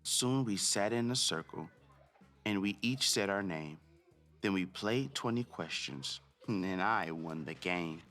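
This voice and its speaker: Expressive man reading to children, lively pitch. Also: measured pace, faint music behind. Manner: expressive, addressing listeners directly. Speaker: host of a children's read-aloud show. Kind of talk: children's storybook narration and chat to young listeners.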